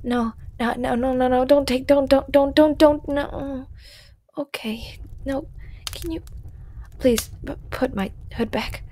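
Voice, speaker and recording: A performer voicing a shy, hooded male storyteller, centuries old, who speaks softly with lots of hesitant stammering and whispers in an audio roleplay. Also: high voice, fairly even intonation, slow pace, a little hiss or hum. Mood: panicked. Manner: hesitant and stammering, many ums and restarts; soft-spoken, often whispering.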